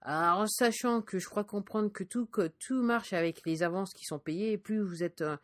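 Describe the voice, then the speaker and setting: A woman's voice: medium pitch, normal speed, dry recording, clean studio sound. A woman from France, an independent musician, talking casually in a monologue full of fillers and restarts. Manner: hesitant, many fillers and restarts.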